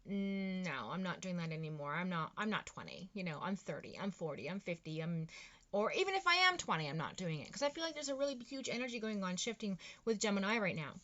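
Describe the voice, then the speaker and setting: A woman's voice: fairly low pitch, big pitch swings, fast talker, fairly bright tone, highs cut off. A woman giving a casual tarot reading, rambling and encouraging, with lots of fillers and restarts. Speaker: tarot reader.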